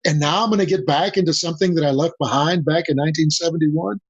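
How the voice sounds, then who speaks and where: An older man from Mexico whose first language is Spanish, a guest on a podcast interview, talking in a measured way and repeating key phrases for emphasis. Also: on the high side, breathy edge, no room sound. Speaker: guest, senior advisor. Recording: dull dry lo-fi audio.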